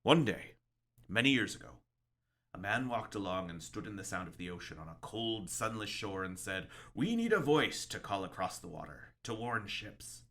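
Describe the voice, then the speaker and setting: Man voicing a brooding, folksy old lighthouse keeper, sharp-edged. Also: lowish voice, big pitch swings, moderate pace, exaggerated voice. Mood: brooding, mournful. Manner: folksy, ominous, musing. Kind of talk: dramatic short-story reading.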